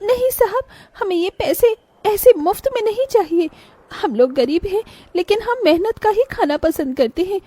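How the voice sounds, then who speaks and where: High-pitched pleading little orphan girl. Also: animated, moderate pace, dark tone, no room sound. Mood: pleading, earnest. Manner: plaintive, earnest. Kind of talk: cartoon bedtime story voice acting.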